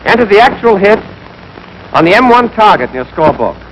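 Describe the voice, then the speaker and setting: Young american guy teaching like an army instructor, highish voice, clipped scripted steps, bit of old 40s film noise. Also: big pitch swings, fairly quick, fairly bright tone. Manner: clipped, step-by-step instructive delivery. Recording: noisy 1940s film soundtrack.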